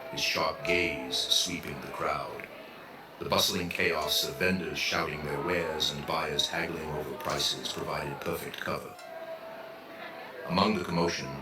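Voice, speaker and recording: A man narrating a scripted dramatic story as the narrator of an audio drama. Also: rumbling low. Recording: roomy echo with music underneath. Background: music.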